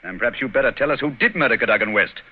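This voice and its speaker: An actor playing a commanding, incisive British gentleman detective with a crisp, theatrical authority in 1940s radio drama. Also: medium pitch, expressive, very fast. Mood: interrogating. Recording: tinny old radio broadcast recording.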